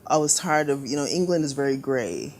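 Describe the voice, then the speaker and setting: A middle-aged woman designer telling stories in a casual interview, lively and laughing often, doing little voices for her parents. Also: rumbling low, brisk. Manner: animated storyteller, laughs often, acts out quoted family voices. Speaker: fashion designer guest. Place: living room studio with sofas.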